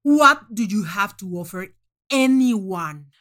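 Woman as a furious monstrous male judge, loud and scathing. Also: mid-range voice, animated, slow pace. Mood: enraged screaming. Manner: scathing, shouting, emphatic. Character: furious, contemptuous monstrous male alien cooking-show judge.

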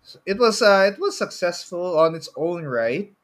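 Higher-pitched Filipino man chatting casually. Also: big pitch swings, normal speed, a bit bright. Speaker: podcast co-host. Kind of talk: casual chat about movies.

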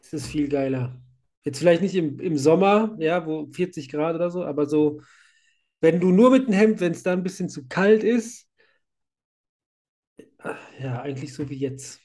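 A man with a cold chats casually into a podcast microphone. His voice sits a little high but sounds warm and mellow, and he tends to ramble and laugh along the way. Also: animated, normal speed, pauses often. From Germany. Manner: chatty, laughs often, rambling. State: has a cold. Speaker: podcast host, site manager.

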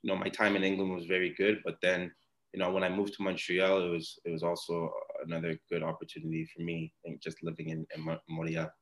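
A man's voice: low voice, monotone, fast talker, rough recording. A young man, originally from Ghana, chatting as a podcast guest about his soccer past, rambling with plenty of fillers and restarts. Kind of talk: casual interview chat.

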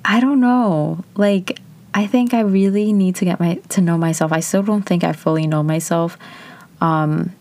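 Casting: woman, early twenties, low voice, candid and chatty vlog delivery. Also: normal speed, warm tone. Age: 20s. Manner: chatty, candid, frequent "like" fillers. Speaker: vlogger. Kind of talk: casual personal reflection.

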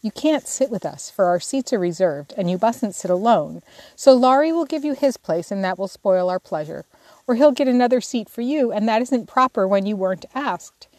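A woman's voice: mid-range voice, normal speed, hardly any pauses, warm tone, dry recording, close to an everyday voice. A woman voicing an impatient, hot-tempered teenage elder sister in a storybook, brusque and scolding.

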